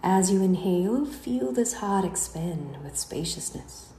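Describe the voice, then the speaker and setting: A woman leads a guided relaxation in a dark, mellow voice. She speaks unhurriedly and soothingly, letting phrases trail off at the end. The recording has a noticeably roomy echo with a faint layer of noise behind her. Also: fairly low pitch, lively intonation, measured pace. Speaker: guided meditation instructor. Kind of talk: slow guided relaxation narration.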